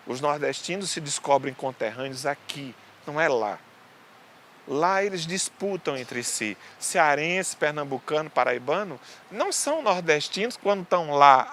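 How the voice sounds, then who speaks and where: A man from Northeast Brazil with a slightly high voice lectures at length. He poses rhetorical questions and tags his points with questions. He is recorded outdoors, with faint city noise around him. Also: a bit bright. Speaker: interviewed researcher. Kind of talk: documentary interview.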